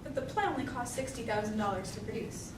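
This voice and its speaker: Woman playing a grandiose, scheming theatre producer, high-voiced. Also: fast, natural voice. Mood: dejected, curious. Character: scheming, flamboyant, bullying male theatre producer. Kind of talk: amateur stage comedy acting. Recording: distant echoey room recording with clear noise.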